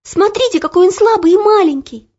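A woman voicing a brave, stubborn young girl who cries out loudly in protest. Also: very high voice, brisk, pitched above the performer's own voice. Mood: pleading. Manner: loud, pleading, indignant cries. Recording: dull lo-fi recording.

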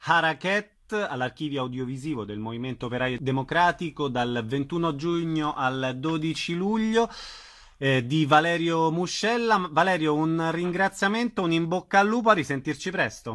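This is a man presenting a radio programme. His pitch sits a little high for a man and his tone is clearly bright. He introduces guests and puts questions to them. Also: moderate pace. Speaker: radio host, interviewer. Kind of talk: radio interview.

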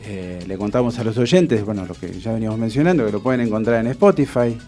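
A man's voice: on the low side, fast, mellow, faint music behind. A man with an Argentine accent hosting a casual, conversational radio interview.

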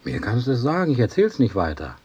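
An actor playing a chatty, needling jail trusty in a radio play, coaxing and familiar, with mock tenderness. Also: male voice, mid-range voice, wildly swooping pitch, fast talker, darkish tone, slightly rough, highs cut off. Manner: coaxing, familiar, talks on unanswered, rhetorical questions. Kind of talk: German radio play acting.

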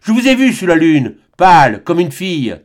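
A man's voice: fairly high pitch, very animated, slow, voice pushed up. A fanatical friar barks his words in a hard, raised voice, as a male narrator puts him on. His tone climbs and drops sharply. It is read like a storybook. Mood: stern.

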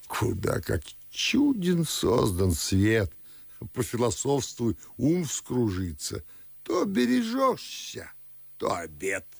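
An actor playing a fussy, grumbling older Moscow father and official in a verse radio play, with drawn-out declamatory delivery. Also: mid-range voice, wildly swooping pitch, vocal fry, husky, no room sound, full character voice. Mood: pompous musing. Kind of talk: theatrical audio drama in verse.

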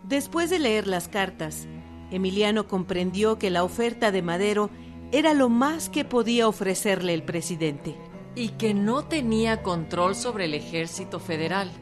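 A woman's voice: mid-range voice, expressive, moderate pace. Female narrator for historical radio drama, mid-range voice, scripted read with music behind.

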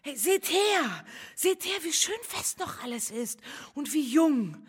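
A woman's voice: high voice, sing-song, slow, exaggerated voice. An actress playing a desperate, seductive woman who pleads theatrically in a German radio drama. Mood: pleading, seductive.

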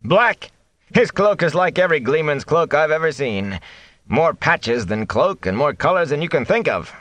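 A man's voice: a little high, sing-song, normal speed, fairly bright tone, dry recording, pitched above the performer's own voice. Man doing a hearty stout innkeeper. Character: stout middle-aged male innkeeper and mayor, shrewd.

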